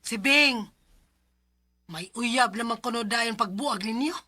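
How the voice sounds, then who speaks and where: A husky, nosy Cebuano neighbor. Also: female voice, fairly high pitch, slow, sharp tone, exaggerated voice. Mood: gossipy. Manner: chatty and insinuating. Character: gossiping female neighbor, nosy and eager. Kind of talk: 1990s radio drama acting.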